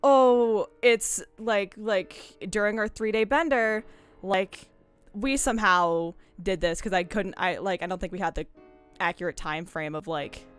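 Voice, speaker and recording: A woman's voice: mid-range voice, unhurried, clean tone, faint music behind. A woman talking casually about video games as a stream host, digressive and often interrupting herself. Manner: rambling, digressive, full of self-interruptions. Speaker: host of a game discussion stream.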